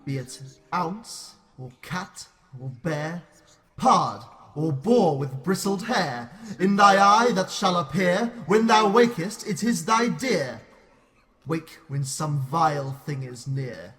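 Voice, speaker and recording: Man playing a slow, scheming fairy king. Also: on the high side, lively intonation, fairly bright tone. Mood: sinister incantation. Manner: deliberate, incantatory, theatrical. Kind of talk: classical Shakespearean verse acting.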